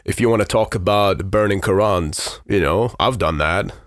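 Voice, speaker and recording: Blunt low-voiced podcast host, Farsi native. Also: male voice, no echo, clean studio sound. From Iran. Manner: blunt, searching, halting with fillers. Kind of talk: casual interview chat.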